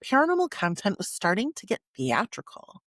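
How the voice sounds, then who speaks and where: A woman hosting a podcast, reading scripted documentary narration with measured, dramatic phrasing and pauses for emphasis. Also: mid-range voice, huge pitch swings, a bit bright, no echo, studio quality. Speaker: podcast host.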